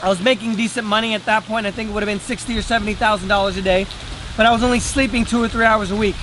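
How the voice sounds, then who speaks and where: High-pitched man over loud background noise. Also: fairly even intonation, very fast. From Venezuela. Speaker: day trader and trading teacher. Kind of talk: casual motivational talk to camera.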